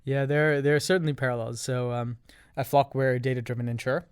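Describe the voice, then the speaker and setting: Measured man with a smooth voice. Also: mid-range voice, flat delivery, no echo, clean studio sound. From Canada. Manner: measured, explains in ordered points. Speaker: guest, revenue operations leader. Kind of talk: interview answers.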